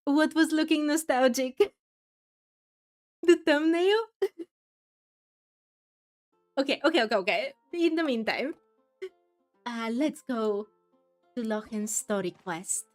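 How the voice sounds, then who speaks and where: A woman's voice: sits high, lively intonation, takes it slowly, long pauses. A woman giving casual commentary as she plays through a game, chatty and musing aloud, with game music behind. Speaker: gaming streamer. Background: faint game music.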